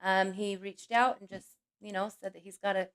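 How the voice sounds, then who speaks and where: A woman hosting a livestream with a scratchy, hoarse voice from a cold, chatting casually and greeting each viewer by name. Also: medium pitch, steady tone, normal speed, fairly bright tone. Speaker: livestream host.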